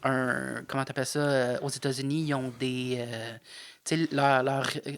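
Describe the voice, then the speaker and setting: A man's voice: medium pitch, level tone, moderate pace. A man with a Québécois French accent, co-hosting a podcast in casual chat and telling stories with playful put-on voices. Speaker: podcast co-host.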